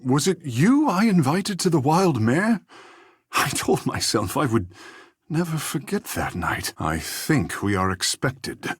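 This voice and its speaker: A vain, flirtatious fish-folk mystic from a fantasy video game. His delivery is courtly and theatrical, swooping widely in pitch with playful wit. The voice has a breathy husk and sounds close and dry. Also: a bit bright, no room sound, full character voice. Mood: flirtatious, showy. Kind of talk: scripted video game companion dialogue.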